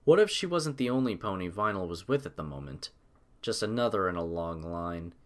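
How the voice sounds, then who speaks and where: A man's voice: sits low, expressive, brisk, a bit bright. A man narrating a scripted story with a dramatic storytelling manner.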